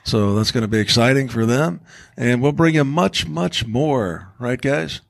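A man's voice: medium pitch, lively intonation, measured pace, vocal fry, no echo. A man hosting a casual school podcast, encouraging his co-hosts and prompting them with questions. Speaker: podcast host.

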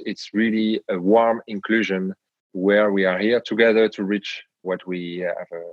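A man with a French accent, a guest on an interview podcast, speaking in a measured way with frequent pauses and hesitations. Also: fairly low pitch, no echo, lo-fi sound. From France. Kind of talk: reflective interview talk.

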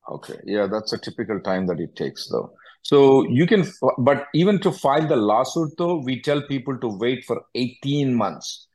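Guy hosting a legal q&a call, medium pitch, blunt, keeps repeating himself. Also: lively intonation, moderate pace, a little mellow. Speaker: immigration attorney hosting a call-in. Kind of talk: question-and-answer advice call.

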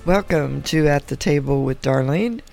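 Gravelly-voiced woman hosting a faith podcast. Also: sits low, normal speed, a little mellow, faint music behind. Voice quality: gravelly, congested. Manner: laughs often, earnest when preaching. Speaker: podcast host. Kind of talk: casual podcast chat.

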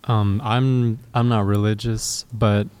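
A man's voice: fairly low pitch, little pitch movement, takes it slowly, a little mellow, clear, resonant tone. A man who grew up partly in Japan, talking in a casual group chat, hesitant with many fillers. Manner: hesitant, many ums and likes.

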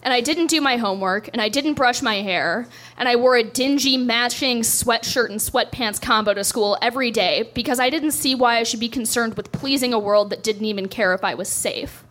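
A woman's voice: a little high, normal speed, few pauses, a bit bright. A young woman doing a stand-up set, telling a story in a dry, self-deprecating way on a club stage. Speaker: stand-up comedian.

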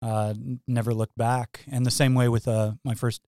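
A man from Wisconsin, a guest scholar, telling his story in a relaxed way with fillers and chuckles. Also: medium pitch, moderate pace, no room sound, studio quality. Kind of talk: casual academic interview.

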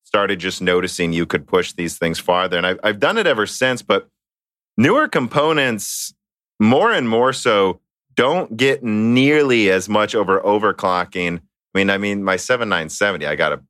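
A man hosts a casual interview, his fairly low voice bright and forceful. He talks quickly and chattily and often laughs partway through a sentence. The sound is close and dry, with little room tone. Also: dry recording. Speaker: interviewer, host.

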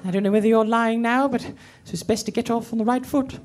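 A woman's voice: a little high, fairly even intonation, quick pace, a little mellow, faint music behind, natural voice. A prim government assistant speaks politely and stammers when she is flustered, as a woman acts her out. She keeps her composure with a tidy, proper manner in this comic audio sketch.

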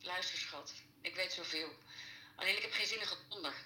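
An actress playing a gruff, wary middle-aged former café landlady in an audio drama, blunt and scornful with folksy turns of phrase. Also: fairly low pitch, a little fast, bright, forceful tone, reverberant, rough recording. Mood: bitter, scornful. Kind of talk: naturalistic audio drama dialogue.

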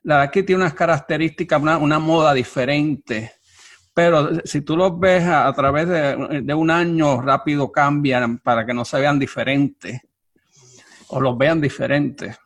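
A man explains things in a lively, chatty way. His voice sits a bit higher than most men's. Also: moderate pace. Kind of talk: casual chat.

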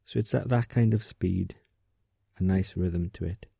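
Very mellow, low, gravelly male meditation guide. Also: no echo, studio quality. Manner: soothing, gentle, unhurried, with pauses. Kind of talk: guided sleep meditation, calm instruction.

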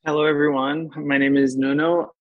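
A man's voice: fairly high pitch, flat delivery, normal speed, dry recording, dull audio. A man from Portugal introducing himself on a virtual panel in a composed, businesslike way. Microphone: video call.

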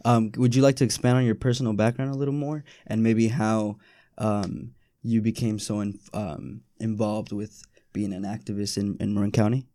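A man's voice: on the low side, flat delivery, normal speed, mellow, no room sound. A young American man hosting a podcast interview in a casual, informal and agreeable way. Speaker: podcast host.

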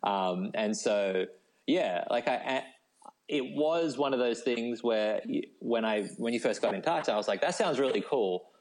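A man's voice: medium pitch, lively intonation, a little slow, a little mellow. A man talking casually as an interview guest, enthusiastic and rambling, with lots of informal "like". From Australia. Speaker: novelist being interviewed as a guest.

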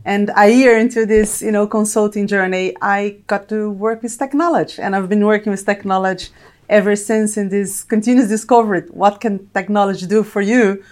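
A woman's voice: medium pitch, steady tone, brisk, darkish tone. A middle-aged woman from Brazil with a Brazilian accent, explaining things reflectively as an executive guest in a corporate interview.

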